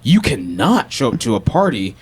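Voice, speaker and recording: A man's voice: medium pitch, very animated, moderate pace, very noisy. A man chatting casually and comically as a podcast guest, ranting and acting out imagined exchanges. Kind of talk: casual comedic chat.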